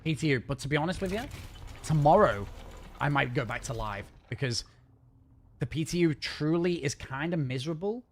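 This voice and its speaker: A man's voice: a little high, lively intonation. A man chatting casually and rambling while live-streaming a game from home. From north of England. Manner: chatty, rambling, fast-talking. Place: home gaming setup.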